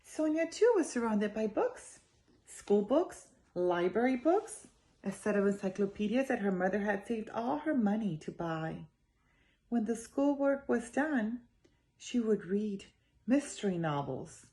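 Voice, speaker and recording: A woman reading a children's story aloud in an expressive, emphatic way, speaking directly to her young listeners. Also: mid-range voice, a little slow.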